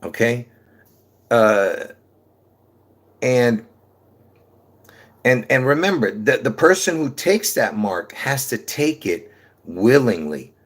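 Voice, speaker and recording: A man with a New York accent talks straight to his viewers in a loose, meandering livestream monologue. He circles back over the same points, stumbles into restarts, and leans on "you know" and "folks" as he goes. His voice sits at an ordinary middle pitch. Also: expressive, slow, pauses often. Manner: repetitive, restarts often, many fillers, calls listeners folks. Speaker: livestream host.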